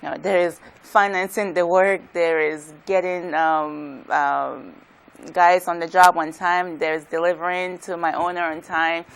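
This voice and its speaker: Woman from Ghana, very low voice, soft and hesitant, many "um"s. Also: steady tone, unhurried, creaky, smooth. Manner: soft-spoken, hesitant, frequent "um"s. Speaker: contractor, guest. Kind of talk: interview answers.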